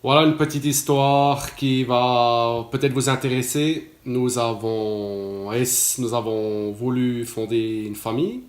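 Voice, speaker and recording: A man's voice: mid-range voice, echoey room. A Swiss man in his late forties with a Swiss French accent, giving a casual testimonial, talking hesitantly with many fillers and restarts. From Switzerland. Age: 40s.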